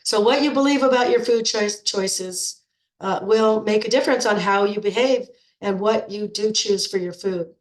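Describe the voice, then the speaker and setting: A woman's voice: medium pitch, moderate pace. Middle-aged female coach, congested from a cold, chatty and repetitive, in an echoey room. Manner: chatty, repeats words when restarting. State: stuffed up with a cold. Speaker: nutrition coach. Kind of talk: informal coaching talk to program members.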